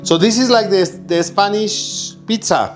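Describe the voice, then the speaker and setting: Casual cooking host, male, from Egypt. Lightly raised pitch, improvising and chatty, over prominent plucked guitar. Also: a little slow. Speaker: home cook demonstrating a recipe. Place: kitchen, while cooking. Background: guitar music.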